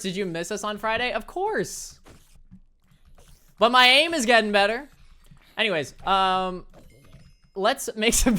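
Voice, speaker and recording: A young man with a light, high-pitched voice, chatting casually and playfully with his viewers while he plays a game, laughing often and joking at his own expense. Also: pushed and bright. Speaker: streamer. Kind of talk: casual chat reading viewer messages while gaming.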